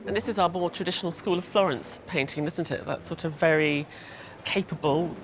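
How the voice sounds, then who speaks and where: A man's voice: fairly high pitch, a little fast, fairly bright tone, faint music behind. A man judging portraits, speaking in a thoughtful, articulate way. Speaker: judge. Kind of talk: art critique.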